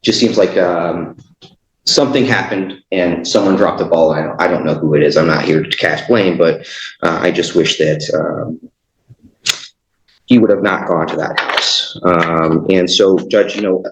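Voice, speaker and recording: A man speaking as a defense attorney in court, arguing haltingly and hesitantly. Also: sits low, steady tone, slight background noise. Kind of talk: argument in court. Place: echoey indoor courtroom. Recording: echoey room, muffled sound.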